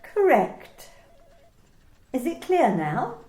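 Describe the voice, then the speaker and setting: Woman acting officious female boss, politely menacing, formal and precise, lively high voice, radio play, echoey room. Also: extremely slow, heavily acted. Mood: officious, pedantic. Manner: formal, precise, clipped questioning. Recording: roomy, echoey home recording.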